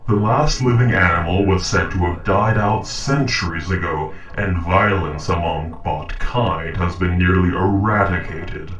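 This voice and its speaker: A man's voice: sits low, fairly bright tone, muffled recording. A man voicing a polite, logical robot in a scripted audio roleplay, speaking formally and precisely. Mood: conciliatory. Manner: formal, measured, precise diction. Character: polite, logical humanoid robot interrogating a captive human. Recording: roomy echo, distant from mic.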